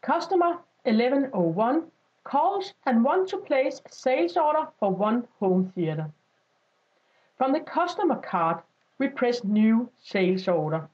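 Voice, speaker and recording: A woman reading a scripted step-by-step software tutorial as a voice-over, in an instructional style. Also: fairly high pitch, big pitch swings, unhurried. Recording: dull lo-fi voice-over.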